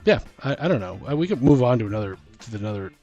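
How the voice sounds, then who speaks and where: A man co-hosting a casual tabletop gaming podcast, talking and reading aloud in a halting way, stumbling over words. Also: medium pitch, very animated, a little mellow, muffled recording, soft background music. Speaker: podcast co-host.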